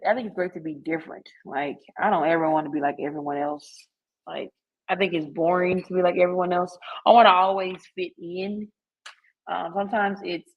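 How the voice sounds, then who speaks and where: Woman podcast host, casual and candid, a bit low in pitch, lo-fi sound. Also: vocal fry. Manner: chatty and frank, with laughs and filler words.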